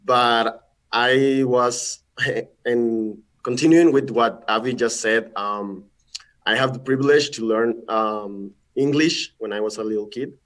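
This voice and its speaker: Male guest presenter, Spanish speaker, average pitch, casual and halting on a call mic. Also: steady tone, fairly bright tone. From Mexico. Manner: friendly, halting, often repeats words. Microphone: video call.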